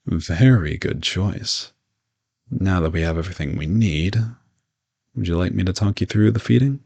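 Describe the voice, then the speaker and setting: Man acting as male vampire, deep voice, vocal fry, courteous, reassuring, matter-of-fact, conversational audio roleplay. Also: moderate pace, a little mellow, lo-fi sound. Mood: calm, reassuring. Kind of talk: roleplay audio drama addressed to the listener.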